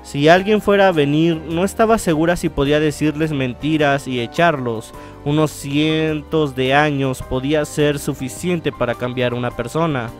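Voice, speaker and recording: A man hosting a visual novel let's-play, chatting casually and reading the text aloud in a halting way, often correcting himself. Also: a little high, soft background music. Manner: halting read-aloud with stumbles and self-corrections. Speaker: gaming channel host.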